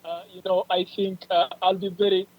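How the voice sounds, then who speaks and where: A man in his twenties with an East African accent, telling his own story as a panelist on a video call, eager and halting. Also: high voice, level tone, narrow, tinny audio. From South Sudanese, raised in Ethiopia and Kenya. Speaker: panelist, youth advocate. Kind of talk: personal storytelling in a panel answer.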